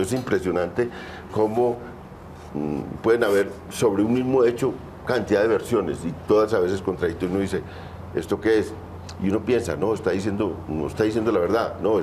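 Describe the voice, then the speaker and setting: A man hosting a studio panel, speaking reflectively and asking halting questions with restarts. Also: low-pitched, warm tone, a little hiss or hum. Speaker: panel host.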